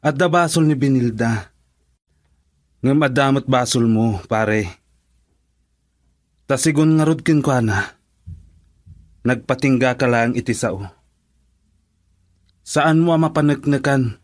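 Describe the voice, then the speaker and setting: A man's voice: medium pitch, slow pace, long pauses, close to an everyday voice. A man with an Ilocano accent playing a frank friend who gives blunt advice in a radio drama. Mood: firm.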